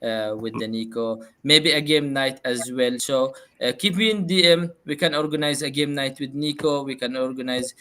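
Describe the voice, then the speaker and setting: Arabic-accented male host, easygoing and hesitant, home setup, mid pitch. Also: moderate pace, hardly any pauses, pushed and bright. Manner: loose, hesitant, with many fillers. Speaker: show host. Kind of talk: casual livestream chat. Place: room at home.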